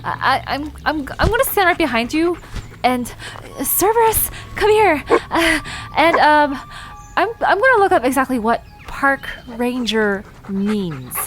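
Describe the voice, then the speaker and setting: A woman's voice: high-pitched, big pitch swings, unhurried, faint music behind. A woman playing a nervous, curious young woman in an audio drama, flustered and stammering as she talks.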